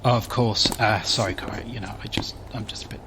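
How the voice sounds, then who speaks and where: A man acting in an audio drama as a shaken, distracted neighbour who speaks haltingly. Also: fairly low pitch, fairly even intonation, in a whisper, close to an everyday voice. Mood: dazed, flustered. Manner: halting, flustered.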